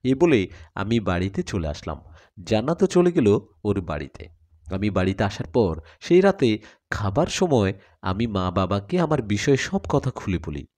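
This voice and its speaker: A man narrating a dramatic first-person story in an expressive way. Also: lowish voice, normal speed. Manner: expressive, acts out dialogue.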